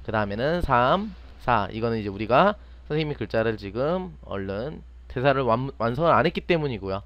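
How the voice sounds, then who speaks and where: A man's voice: medium pitch, animated, a little fast, dry recording, dull audio. A man teaching an unscripted step-by-step screen tutorial, often asking questions and answering them himself. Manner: asks and answers own questions. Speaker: teacher, online programming instructor.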